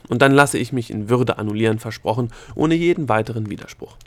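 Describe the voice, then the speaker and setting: An exasperated male gnome from a storybook, acted out by a male reader. He speaks loudly and emphatically, wheedling and pressing his case with restless persistence. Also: mid-range voice, animated, fairly quick, vocal fry, dry recording. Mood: pleading. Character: exasperated, fast-talking male gnome pleading and persuading. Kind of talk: storybook character dialogue.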